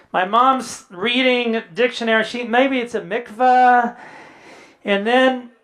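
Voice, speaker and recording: A man's voice: sits high, a bit bright. A man in his sixties from the US Midwest, giving a talk as a guest speaker and telling family anecdotes with dry humor, often quoting relatives in dialogue. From Indiana, US Midwest. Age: 60s. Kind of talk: personal storytelling talk.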